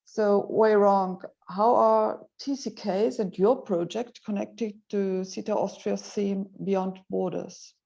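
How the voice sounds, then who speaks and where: Gravelly-voiced woman interviewer, dull sound. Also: mid-range voice, fairly even intonation, warm tone. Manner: measured, hesitant with "uh" fillers. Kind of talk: interview questions.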